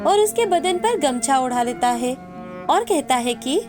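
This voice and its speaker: A woman's voice: high-pitched, lively intonation, unhurried, over music. A woman narrator reading a scripted moral tale in storytelling style.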